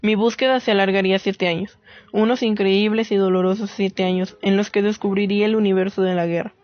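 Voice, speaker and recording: A woman playing a reflective writer, narrating a radio play in a solemn, deliberate, literary way. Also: mid-range voice, steady tone, no echo, lo-fi sound, close to an everyday voice. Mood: solemn reflection. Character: reflective woman writer narrating her search for women's war stories.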